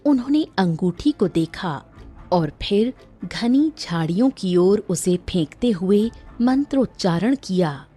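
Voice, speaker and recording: A woman's voice: medium pitch, animated, slow, dark tone, breathy edge, soft background music. A woman narrating a children's story in a scripted storytelling style.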